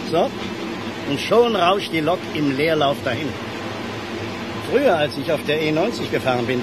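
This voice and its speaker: A man's voice: a little high, lightly acted. An actor playing an experienced, matter-of-fact train driver in a radio drama, explaining his work. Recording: loud vehicle noise behind. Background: running train engine noise.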